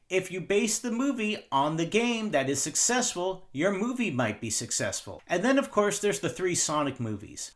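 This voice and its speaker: A man's voice: fairly high pitch, fairly bright tone. A man in his forties giving scripted, opinionated commentary as a video host, with wry, sarcastic asides.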